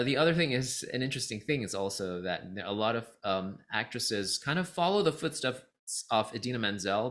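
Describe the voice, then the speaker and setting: A man from Singapore giving a casual spoken review as a vlogger, thinking aloud in a halting, reflective way and stressing key words. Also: lowish voice, fairly bright tone. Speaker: vlogger reviewing musicals, voice actor and teacher.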